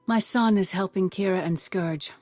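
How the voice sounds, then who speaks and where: A woman playing a wise older Jedi master and mother in a video game, speaking in a measured, formal way. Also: mid-range voice, a little mellow, no room sound, faint music behind, lightly acted. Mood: reflective. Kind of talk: video game cutscene acting.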